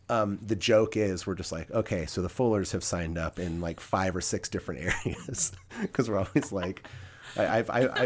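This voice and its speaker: Thirties guy, lowish voice, upbeat and chatty, keeps saying yeah and awesome. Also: a little hiss or hum. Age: 30s. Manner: upbeat, affirming, lots of yeahs. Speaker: interviewer, church staff. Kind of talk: casual interview chat.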